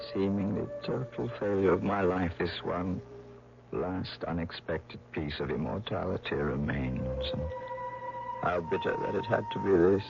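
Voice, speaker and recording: An actor in a 1970s radio drama playing a sad, guilt-ridden old man in halting, theatrical monologue. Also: low voice, vocal fry, noisy background. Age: older. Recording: muffled vintage radio, music behind.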